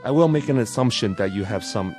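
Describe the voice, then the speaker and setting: A stern Japanese police chief, played by a male actor, speaks low with a Japanese accent and widely moving pitch. His diction is formal, eloquent and condescending. It sounds like an old television drama, with faint music behind. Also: animated, fairly quick, a little mellow, natural voice. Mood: urbane, dismissive. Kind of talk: vintage television drama acting.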